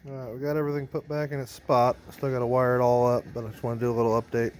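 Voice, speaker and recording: A man's voice: medium pitch, level tone, rapid-fire, loud music behind the voice, rough recording. A man narrating a hands-on gear vlog in a casual, informal way, thinking aloud as he works. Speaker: band member showing a PA rack rebuild.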